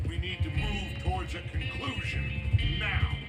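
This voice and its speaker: An actor playing a middle-aged American outlaw gang leader in a video game, grandiose, preachy and persuasive. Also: male voice, sits high, measured pace, loud music behind the voice. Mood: intense, persuasive. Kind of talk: video game dramatic acting.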